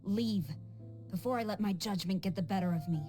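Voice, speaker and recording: A woman plays a stern female officer in a video game. She is curt and imperious, with a mellow, mid-range voice over faint music. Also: mid-range voice, fairly quick, natural voice. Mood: stern, restrained. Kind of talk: scripted video game acting.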